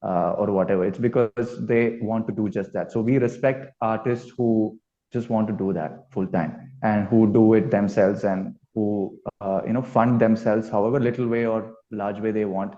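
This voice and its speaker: A man's voice: lowish voice, fairly even intonation, normal speed, mellow. A man with an Indian accent speaking bluntly and casually as a musician in an interview, circling back over his points. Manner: blunt, repetitive. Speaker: band musician being interviewed. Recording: dull, lo-fi audio.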